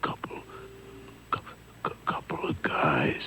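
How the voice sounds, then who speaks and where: A man's voice: slow, bright, forceful tone, in a whisper. An actor playing a dying gunshot man who falters weakly through his words in a 1950s radio drama. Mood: weak, fading. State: weak, faltering, barely breathing out words. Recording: noisy old radio broadcast on cassette.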